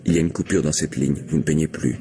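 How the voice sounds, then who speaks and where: Deep-voiced smooth-talking palm reader. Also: male voice, slight background noise. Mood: insinuating, tender. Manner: insistent, flattering, hesitant pauses while divining. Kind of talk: old-fashioned French radio mystery play acting.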